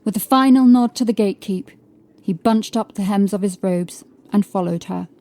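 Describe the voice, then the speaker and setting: A woman narrating scripted horror fiction as a dramatic storyteller, with a mellow voice. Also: mid-range voice, moderate pace.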